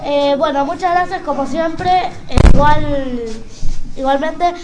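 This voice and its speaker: A woman's voice: sits high, slow, noisy background. A woman with an Argentine accent hosting a radio show in a casual, chatty style.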